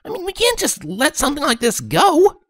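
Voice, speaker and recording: A man voicing a brash, hot-headed sporty teenage girl who barks her lines loudly. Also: very high voice, wildly swooping pitch, fairly quick, no room sound, clean studio sound, voice pushed up, heavily acted. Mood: urging. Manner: barking, loud.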